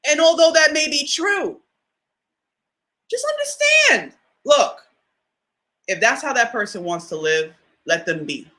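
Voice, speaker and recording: A woman with a deep voice delivers a motivational talk in a casual, conversational way. Her delivery is bright and forceful, and her pitch swings widely as she leans on key words. She often repeats a phrase for emphasis and checks in with her listeners. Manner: conversational, often asks "you know what I mean?". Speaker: motivational speaker.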